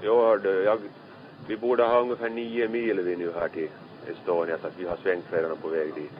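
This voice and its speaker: Low-voiced man on muffled ship radio. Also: flat delivery, crowd noise. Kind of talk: maritime radio traffic. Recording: dull lo-fi marine radio recording.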